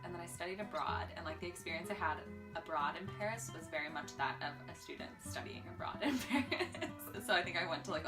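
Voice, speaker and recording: A young woman from Washington, D.C. in her twenties, answering interview questions in a chatty way with lots of filler words. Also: on the low side.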